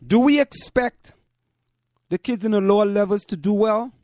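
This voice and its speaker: A man with a high voice, recorded dry and close. He talks to listeners as a host giving commentary, deliberately, repeating phrases to drive them home. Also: darkish tone, no room sound. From Trinidad and Tobago. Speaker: host of a community parents' talk show.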